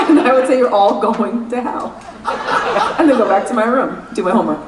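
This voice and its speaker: A woman's voice: on the high side, normal speed, heavy background noise. A woman with a New York accent giving a candid, laughing convention interview and swearing freely. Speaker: guest actress. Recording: echoey convention room, mic at a distance.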